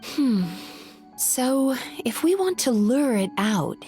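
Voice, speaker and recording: A woman voicing a gentle, modest dream scholar in a video game, hesitant and thoughtful. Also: high-pitched, very animated, slow pace, full character voice. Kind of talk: scripted video game voice acting. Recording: music behind the voice.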